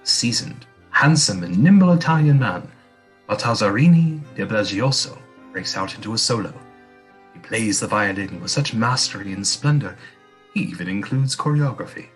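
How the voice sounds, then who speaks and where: Male narrator, slightly low voice, measured scripted drama narration, faint music behind. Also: animated, rough recording.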